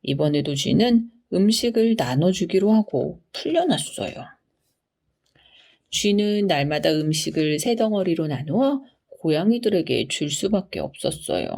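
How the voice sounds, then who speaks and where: Female storybook reader for children's bedtime tales: lowish, mellow, expressive delivery. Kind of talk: scripted bedtime fairy-tale narration for children.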